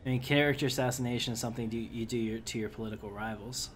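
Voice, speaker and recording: A man's voice: medium pitch, fairly even intonation, rapid-fire, a little hiss or hum. A man giving casual, rambling let's play commentary as he hosts a gaming video.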